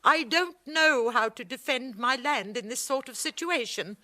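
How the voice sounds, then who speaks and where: An actress playing an outspoken, protective village widow in a radio drama, impassioned and blunt. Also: female voice, high-pitched, lively intonation, a little slow, pushed and bright, heavily acted. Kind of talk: 1980 radio drama acting.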